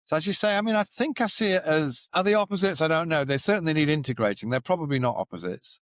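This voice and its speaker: A man's voice: a little high, lively intonation, fast, dry recording, clean studio sound. A man who founded and runs a company, a podcast guest speaking in a reflective way, thinking aloud with hedging fillers. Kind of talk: casual conversational interview.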